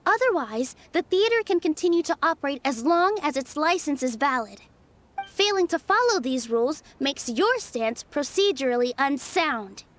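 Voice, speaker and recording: A woman's voice: high-pitched, very animated, moderate pace, fairly bright tone. A woman playing an earnest, determined young performer in a video game, speaking carefully and sincerely. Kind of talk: video game cutscene acting.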